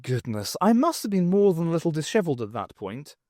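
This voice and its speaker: A man narrating scripted fiction for an audiobook in an expressive, theatrical storytelling manner. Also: fairly high pitch, fairly quick, no room sound, studio quality.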